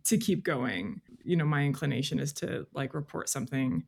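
A woman's voice: a bass voice, animated, moderate pace, creaky, a little mellow, slightly rough. Woman thinking aloud in conversation. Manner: informal, thinks aloud, hedging with "like" and "you know". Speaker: podcast guest, freelance journalist. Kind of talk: reflective interview conversation.

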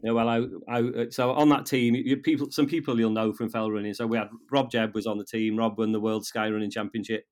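Halting North Welsh man in his fifties. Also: fairly low pitch, level tone, a little mellow. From North Wales. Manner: halting, with many repeated words and restarts. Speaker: guest. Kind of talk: casual interview conversation.

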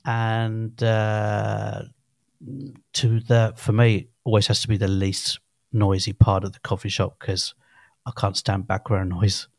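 A man's voice: lowish voice, completely flat delivery, slow pace, gravelly, dry recording, studio quality. A man in his fifties co-hosting a podcast, talking casually in a rambling, chatty way that often restarts mid-sentence. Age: 50s. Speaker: podcast co-host. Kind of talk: casual chat.

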